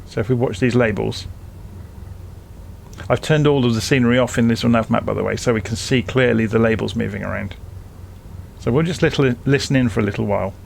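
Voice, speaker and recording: A man's voice: medium pitch, brisk, creaky, slight background noise. A man presenting a casual flight simulation tutorial, explaining things informally, step by step.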